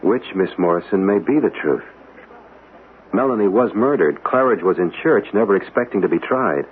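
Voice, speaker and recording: An actor as an earnest, courteous man in a radio ghost mystery. His voice is on the low side and measured, heard through a thin, phone-like broadcast with faint noise. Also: expressive, lightly acted. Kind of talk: radio drama acting. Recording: narrow, noisy old radio broadcast.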